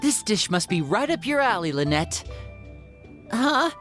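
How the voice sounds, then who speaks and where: Lively, polite young game character. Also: female voice, mid-range voice, a little slow. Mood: curious, pleased. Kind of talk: animated fantasy game acting. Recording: music under the voice.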